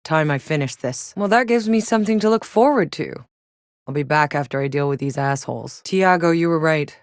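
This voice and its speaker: Tough sarcastic soldier lady for a video game, kinda low voice, blunt, totally dry studio sound. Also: animated, a little fast, no room sound. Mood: determined, blunt. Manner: blunt, wry, swearing. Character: tough, sardonic battle-hardened female soldier in sci-fi wasteland. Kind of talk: scripted video game character voice lines.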